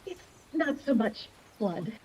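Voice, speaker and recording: A woman playing a calm, haunted mother in a radio play, soothing, earnest and cryptic, stubborn in what she believes. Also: fairly high pitch, slow, slight background noise, poor audio. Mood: resigned, gentle.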